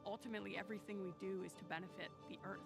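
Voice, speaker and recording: A woman from New York, an astronaut commander, speaking in an earnest, measured way about herself. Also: mid-range voice, fairly even intonation, creaky. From New York, USA. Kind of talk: recorded personal profile. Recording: music bed under voice.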